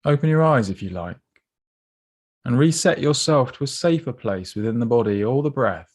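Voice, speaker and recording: Unhurried man guiding a meditation. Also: medium pitch, expressive. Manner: gentle, unhurried, instructive, with pauses. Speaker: meditation guide. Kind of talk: guided body scan meditation.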